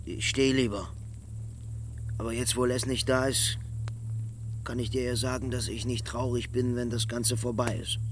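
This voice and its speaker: A man's voice: medium pitch, level tone, moderate pace, pauses often, natural voice. Actor as a laconic, placid young man. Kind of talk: German radio drama acting. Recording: faint mains hum behind.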